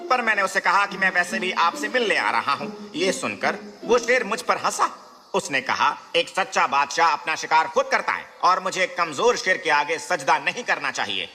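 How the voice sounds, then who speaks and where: Man as a clever little cartoon rabbit, high swoopy voice, polite and pleading, echoing hall. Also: bright, forceful tone, full character voice. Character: small brave, crafty male rabbit, humbly deferential. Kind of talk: animated children's fable acting. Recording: long reverb, music behind.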